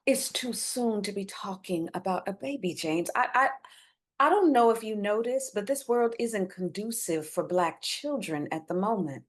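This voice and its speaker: A woman's voice: medium pitch, animated, moderate pace, lightly acted. A woman playing a guarded, burdened wife and determined community organizer in a screenplay reading. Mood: stressed, defensive. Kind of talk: live staged screenplay reading.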